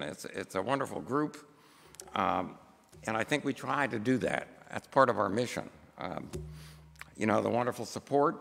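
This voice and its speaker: An American man in his seventies preaching a sermon from a church pulpit, speaking in a halting, unhurried way with pauses. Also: on the low side, vocal fry, fairly bright tone. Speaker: pastor. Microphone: gooseneck pulpit microphone. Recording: reverberant church hall.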